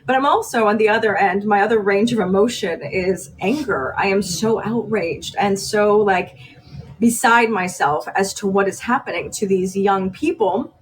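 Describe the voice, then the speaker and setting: A woman's voice: medium pitch, normal speed. Woman in her 30s from iran, news anchor, heartfelt talk, mic a bit far, light noise. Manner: earnest, emotional, gestures as she talks. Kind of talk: candid podcast conversation. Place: podcast studio with decorated shelves. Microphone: large studio mic on boom.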